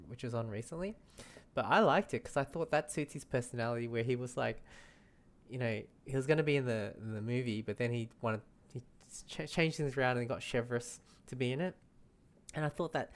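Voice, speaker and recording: A man giving casual, hesitant, rambling commentary while playing a game. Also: mid-range voice. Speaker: streamer reacting to a game. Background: game music.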